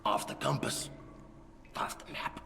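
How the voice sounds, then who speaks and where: A man voicing a haunted sailor turning monstrous in a video game, speaking haltingly in a gravelly, rasping voice that breaks into whispers. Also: bright, forceful tone. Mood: haunted, grim. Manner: halting, tormented, intense. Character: haunted sailor slowly changing into something monstrous. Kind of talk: dramatic cutscene monologue. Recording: cavernous reverb with noise.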